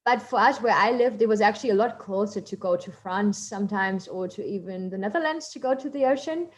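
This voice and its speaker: German-accented woman, casual speaker, rambling and chatty, mid-pitched. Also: a little fast. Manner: chatty, loose, lots of fillers and asides. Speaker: marine biologist guest speaker. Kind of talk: casual online talk.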